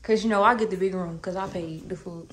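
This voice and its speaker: A woman's voice: on the low side, a little fast. A young adult woman vlogging casually in informal, slangy talk, filming on a phone at arm's length. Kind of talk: casual vlog chat and house tour. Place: walking through apartment, hallways and parking garage. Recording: noisy handheld phone recording.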